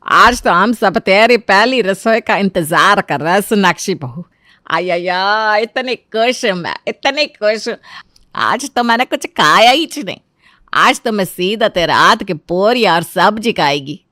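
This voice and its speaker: Woman acting older mother-in-law, cartoon story, excitable, exclaiming, broken grammar, dry close sound. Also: mid-range voice, unhurried, no room sound. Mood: eager, delighted.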